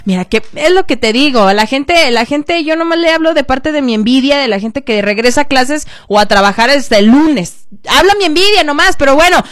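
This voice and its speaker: A woman radio host, chatting with callers in a loud, teasing, playful way. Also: high-pitched, animated, fairly quick, hardly any pauses, no room sound, studio quality. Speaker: radio host taking listener calls. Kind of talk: casual radio chat.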